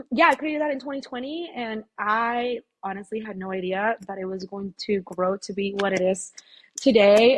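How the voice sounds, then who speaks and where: A woman's voice: medium pitch, low-quality recording. Woman, from Mexico, podcast guest, casual, emphatic, filler words. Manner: animated, emphatic, frequent fillers. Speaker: podcast guest, fitness apparel founder. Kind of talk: casual interview talk.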